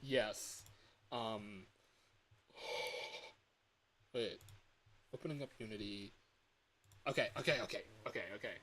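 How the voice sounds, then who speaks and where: A man's voice: fairly low pitch, takes it slowly, long pauses, a bit bright. Rambling man chatting casually on a livestream. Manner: rambling, repeats phrases, often chuckles. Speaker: livestreamer and hobby game developer.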